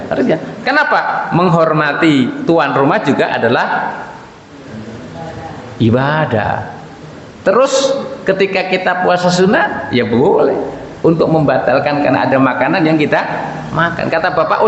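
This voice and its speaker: A man's voice: high-pitched, expressive, unhurried. A man preaching informally to a congregation in a conversational, joking way, putting questions to his listeners. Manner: recites short Arabic quotations. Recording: muffled, noisy, echoey hall sound.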